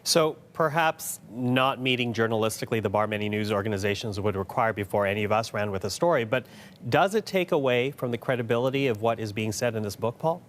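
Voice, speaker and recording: A man's voice: on the low side, fairly quick, fairly bright tone. Male news host over audible noise. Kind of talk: broadcast panel moderation.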